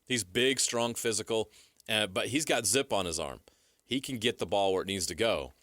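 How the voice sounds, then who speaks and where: A man's voice: on the low side, animated, moderate pace, a bit bright, no echo. An American man co-hosting sports talk radio, talking casually and emphatically on a studio microphone. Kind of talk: casual sports debate banter. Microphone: close studio radio microphone.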